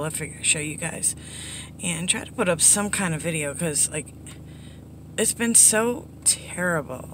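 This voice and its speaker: A middle-aged woman with a hoarse, under-the-weather voice, giving a casual, rambling update to viewers in a low-key, apologetic way. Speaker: slots vlogger. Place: inside a parked car. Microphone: phone at arm's length. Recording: background noise inside a vehicle.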